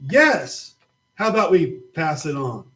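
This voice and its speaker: Man, high voice, patient children's Bible storyteller opposite a puppet. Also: big pitch swings, unhurried, faint music behind. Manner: patient, gently explaining. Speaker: puppet show presenter. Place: church.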